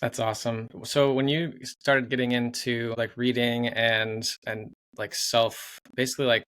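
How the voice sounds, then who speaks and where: A man hosting a podcast, introducing his guest and asking relaxed, filler-heavy questions in casual chat. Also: medium pitch, unhurried, smooth. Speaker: podcast host.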